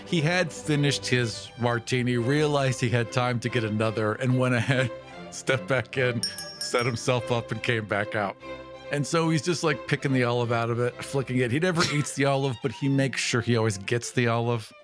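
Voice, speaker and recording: A man's voice: mid-range voice, normal speed, over music. A man playing a tabletop roleplaying game, casually improvising narration about his character.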